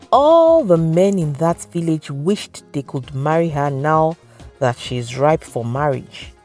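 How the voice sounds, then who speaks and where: Nigerian female storyteller, low register, scripted folktale narration over soft music.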